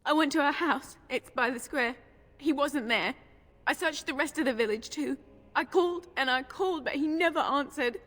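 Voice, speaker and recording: A woman's voice: sits high, moderate pace, a bit bright. A woman playing a frightened, tearful young village girl in a game, timid and pleading as she stammers. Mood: distraught, worried. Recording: long reverberant hall echo.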